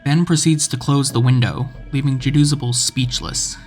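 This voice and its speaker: A man's voice: mid-range voice, steady tone, normal speed, a little mellow, faint music behind. Man narrating an internet horror story. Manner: reads quoted messages with rising intensity. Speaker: video essayist.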